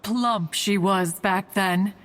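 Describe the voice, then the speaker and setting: A woman's voice: medium pitch, slow, heavy background noise, natural voice. A woman voicing a lofty, fond old immortal mountain spirit in a video game, talkative, with grand archaic phrasing. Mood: fond, nostalgic. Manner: grand, archaic, calls herself "one", talkative. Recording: music behind.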